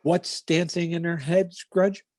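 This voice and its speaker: A man playing a deadpan, clipped police detective narrating his case in a 1950s radio parody style. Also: fairly high pitch, a little slow, no room sound, clean studio sound, lightly acted. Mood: terse, matter-of-fact.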